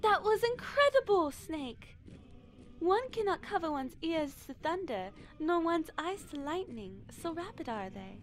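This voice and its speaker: A woman's voice: very high voice, sing-song, a little slow, full character voice. A woman playing an earnest, eager young military analyst in an audio drama, precise and deferential. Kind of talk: scripted audio drama acting.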